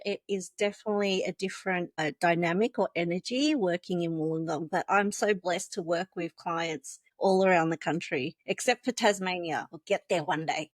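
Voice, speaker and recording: A bubbly woman, whose was Vietnamese, talks through her work as a guest on a call. Her voice sits in a middle pitch and is recorded close and dry, with no room sound. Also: dry recording. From Australia. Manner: bubbly, laughs easily, explains things step by step. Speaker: guest, compliance consultant. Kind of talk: casual interview chat.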